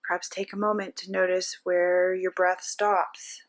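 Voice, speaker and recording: A woman narrating a guided meditation as a teacher, giving gentle step-by-step instructions with frequent pauses. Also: lowish voice, flat delivery.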